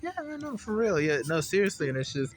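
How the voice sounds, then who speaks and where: A man's voice: high voice, sing-song, quick pace, a crowd in the background. A young man from Liberia hosting a podcast, easygoing and rambling in casual chat. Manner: easygoing, rambling, lots of fillers. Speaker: podcast host.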